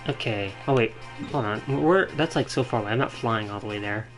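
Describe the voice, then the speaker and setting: Halting male game streamer, medium pitch. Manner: halting, rambling, thinking aloud. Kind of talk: casual live-stream gameplay commentary. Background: game music.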